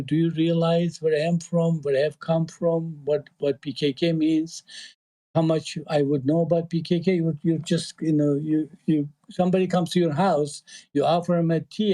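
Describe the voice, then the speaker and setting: Turkish-accented guy talking on a panel, mellow kinda highish voice, lots of ums and restarts. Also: rough recording. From Eastern Turkey. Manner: halting, with many fillers and restarts. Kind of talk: casual discussion. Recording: online audio call.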